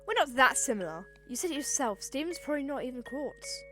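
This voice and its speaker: A woman's voice: sits high, very animated, fast talker, sharp tone, full character voice. Woman playing brash female gem, resentful, rebellious, grumbling, cartoon fan dub, music behind. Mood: angry, defiant. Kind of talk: amateur comic dub acting.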